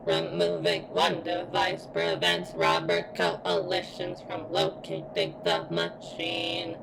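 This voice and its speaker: Robot girl played by a woman, ASMR roleplay: very low, heavily gravelly, formal, strained as if hurting, longing to be free. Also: noisy background, heavily acted. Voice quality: strained, as if in pain. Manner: formal, halting, deliberate robotic delivery. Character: pained female robot security bot craving freedom and sentience.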